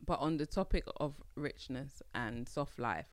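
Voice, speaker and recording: A woman's voice: extremely low pitch, lively intonation, a little slow, no echo, studio quality. A young woman hosting a solo podcast, talking casually in a playful, slangy way, often laughing. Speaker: podcast host.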